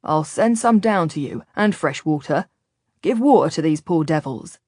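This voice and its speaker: A woman's voice: low voice, expressive, normal speed, darkish tone, no room sound, studio quality. A woman voicing a stern, curt male fort captain who gives blunt orders, in an audiobook story. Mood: grudging. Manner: commanding, blunt.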